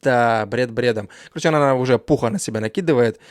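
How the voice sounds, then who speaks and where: A man's voice: mid-range voice, fast talker, dry recording. Man with dry, slangy reaction commentary. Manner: dismissive, slangy, lots of fillers. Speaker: reaction video host.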